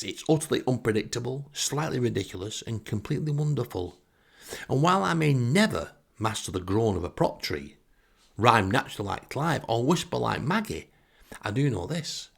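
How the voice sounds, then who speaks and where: A man's voice: medium pitch, creaky, breathy edge. A man with a Northern English accent telling comic first-person stories as a podcast host, with a wry, sarcastic manner. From northern England.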